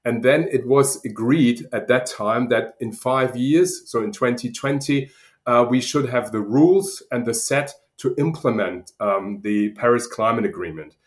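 A middle-aged man from Germany with a German accent, answering interview questions in a halting way with many fillers. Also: medium pitch. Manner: halting, many ums and uhs. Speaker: guest researcher. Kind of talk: podcast interview.

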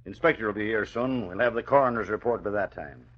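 An actor playing a brusque, commanding police sergeant who questions people curtly in an old radio drama. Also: male voice, on the low side, fast, natural voice. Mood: firm. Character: gruff, impatient male police sergeant questioning suspects. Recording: dull, lo-fi old radio recording.

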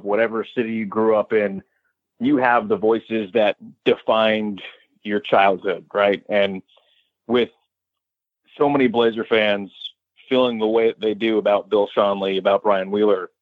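A man's voice: lowish voice, no echo, phone-quality sound. An American man in his thirties, a sports radio announcer, talking conversationally and at length as an interview guest. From Westchester County, New York, United States. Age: 30s.

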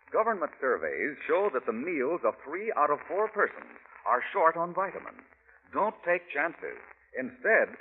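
An American man reading a scripted 1940s radio advertisement as announcer, with an emphatic, deliberate sales delivery. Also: mid-range voice, a little hiss or hum. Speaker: commercial announcer. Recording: tinny, narrow-band old radio recording.

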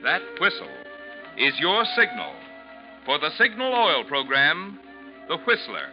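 A man announcing for 1940s radio in polished, emphatic sales style. His delivery is lively and fairly high, on a muffled vintage recording. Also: a little slow. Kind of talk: 1940s radio announcing, scripted sponsor read. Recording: dull old radio recording. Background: music.

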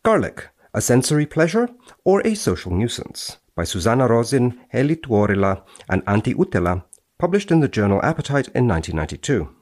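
A man's voice: mid-range voice, sing-song, a little fast, darkish tone. A man, a researcher appearing as a podcast guest, reading studies dramatically and chatting about them.

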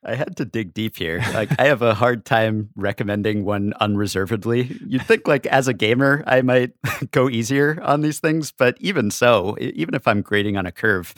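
A man's voice: mid-range voice, normal speed, few pauses, fairly bright tone, dry recording, studio quality. A man talking analytically as a podcast guest, casual, wry and careful to qualify what he says. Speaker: podcast guest, staff writer.

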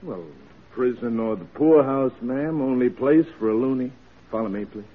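An actor playing a stubborn, dismissive prison jailer in an old-time radio drama, with a brusque, colloquial way of talking. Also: male voice, mid-range voice, normal speed, close to an everyday voice. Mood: stubborn, irritated. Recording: muffled, noisy vintage radio recording.

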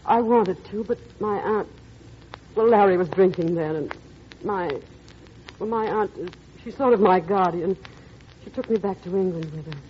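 A woman's voice: medium pitch, slight background noise, close to an everyday voice. Actress as a wistful, guarded woman in old radio drama. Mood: earnest, hesitant. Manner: halting, hesitant, softly earnest. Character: wistful stepmother with a guarded secret, gentle guardian. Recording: muffled old radio recording, music behind.

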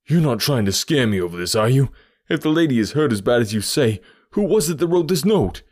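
A proud villain from a detective storybook, acted by a male narrator, with a fairly low voice. He speaks with bitter, forceful defiance and a wounded lover's intensity. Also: normal speed. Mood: suspicious. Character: fierce, proud, lovelorn American male criminal.

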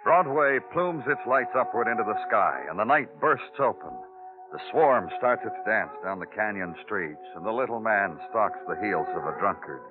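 An actor playing a world-weary American police detective in a 1950s radio crime drama, speaking in a grim, deliberate, clipped way. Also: male voice, on the low side, animated, over music, close to an everyday voice. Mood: brooding narration. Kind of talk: 1950s radio noir acting. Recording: tinny old radio broadcast recording.

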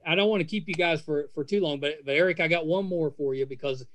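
A man's voice: fairly high pitch, quick pace, fairly bright tone, a little hiss or hum. A man hosting a casual interview podcast, talking in an easygoing, somewhat hesitant, conversational way.

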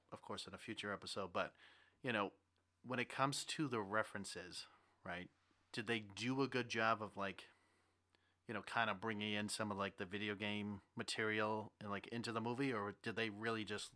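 A man hosting a podcast in casual chat, with an animated, jokey manner. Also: fairly low pitch. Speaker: podcast host.

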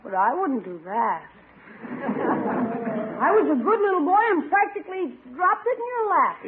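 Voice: high voice, very animated, a little slow, phone-quality sound, background noise. A performer playing a scheming, whiny young schoolboy who pleads and exclaims in 1940s radio comedy style, on an old broadcast. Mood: pleading.

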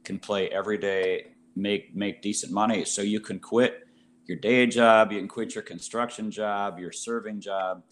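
A man's voice: fairly low pitch, steady tone, normal speed, slight background noise. A man from a band, talking earnestly in a casual interview. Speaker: band member.

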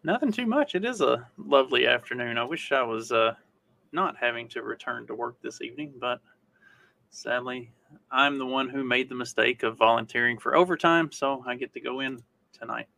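Bright, gravelly man chatting as co-host. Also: mid-range voice, normal speed. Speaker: podcast co-host. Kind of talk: casual chat.

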